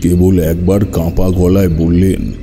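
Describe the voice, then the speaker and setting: A man's voice: low voice, flat delivery, a little slow, warm tone, slight background noise. A man narrating a scripted first-person horror story in a dramatic, literary storytelling manner.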